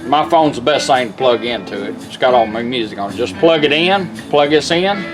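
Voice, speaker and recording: A man's voice: medium pitch, animated, a little fast, over music. A man giving a casual, hands-on product demonstration, instructing step by step in a folksy, plain-spoken way. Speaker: radio restorer and demonstrator.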